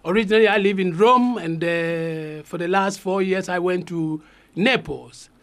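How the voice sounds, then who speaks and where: High-voiced man, halting speech. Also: a bit bright. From Ghana. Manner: halting, many fillers and repeated words. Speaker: guest. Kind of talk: radio interview, personal story.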